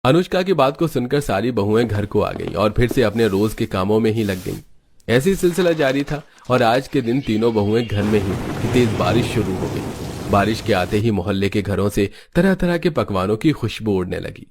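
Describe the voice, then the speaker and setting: Casting: male narrator for scripted cartoon storytelling, slightly low voice, faint background noise. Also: lively intonation, normal speed, darkish tone.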